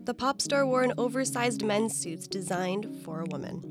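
A woman narrating a news feature from a script with an even, read-aloud delivery. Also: a little high, normal speed. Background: background music.

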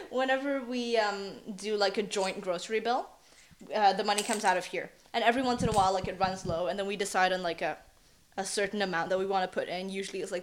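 A woman's voice: mid-range voice, slight background noise. A woman in her twenties, from Singapore, a student journalist talking casually in an interview, filling her speech with "like" and "um". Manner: chatty, lots of "like" and "um".

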